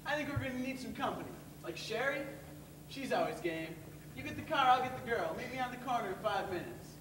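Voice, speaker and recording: A man's voice: extremely high pitch, animated, fairly quick. A young man playing a cocky, streetwise teenage schemer in a stage play. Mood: cocky, impatient. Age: teens to young adult. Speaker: stage actor. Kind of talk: amateur stage play dialogue. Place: theatre stage. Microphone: far camera. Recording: roomy, distant camera, noisy hall.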